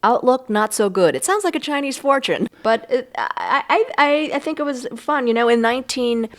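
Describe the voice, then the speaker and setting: A woman's voice: high-pitched, lively intonation, moderate pace. A middle-aged or older American woman co-hosting a nostalgic chat show in an informal, conversational way. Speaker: podcast co-host.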